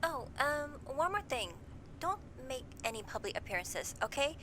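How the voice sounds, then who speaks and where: A woman's voice: sits high, sing-song, normal speed, fairly bright tone, a little hiss or hum. A woman voicing a chirpy, upbeat talent manager in an anime dub. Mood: chirpy, businesslike.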